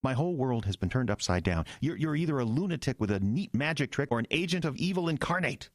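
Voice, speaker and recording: A man as a flustered, animated earnest pastor. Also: mid-range voice, no room sound, clean studio sound, lightly acted. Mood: agitated, incredulous. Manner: halting, agitated, incredulous. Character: earnest, flustered male small-town church pastor. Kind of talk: audio drama acting.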